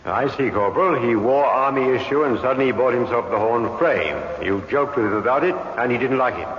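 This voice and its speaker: An actor playing a brisk, authoritative British police inspector in an old radio drama, clipped and formal. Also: male voice, mid-range voice, a bit bright, close to an everyday voice. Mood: probing. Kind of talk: 1950s radio drama acting. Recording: muffled old radio recording, faint hiss.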